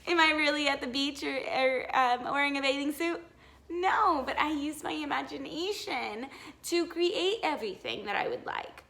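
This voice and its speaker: A woman teacher reading a storybook aloud to young children in an expressive, encouraging way, pausing to ask them questions. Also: high voice, creaky.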